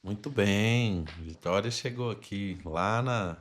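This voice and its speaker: A man's voice: on the low side, slow pace. A man with a Brazilian accent hosting a podcast, explaining things in formal, wordy phrasing. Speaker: podcast host.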